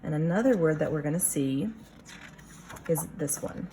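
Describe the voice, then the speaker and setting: A woman teacher reading a picture book aloud to young children, expressive, pausing to question and explain. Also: sits low, slight background noise.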